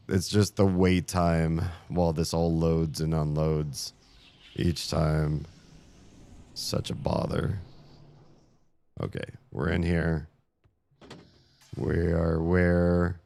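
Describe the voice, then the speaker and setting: Deep, gravelly man with a tired voice. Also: steady tone, extremely slow, pauses often, warm tone. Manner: grumbling, sarcastic complaints. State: losing his voice. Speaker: streamer playing a puzzle game. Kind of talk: casual live-stream commentary.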